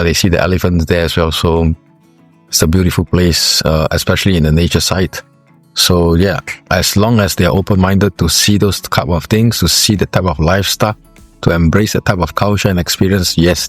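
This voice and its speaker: A man from Singapore, a former footballer, giving interview answers in a halting way with many fillers and restarts. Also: sits low, no room sound.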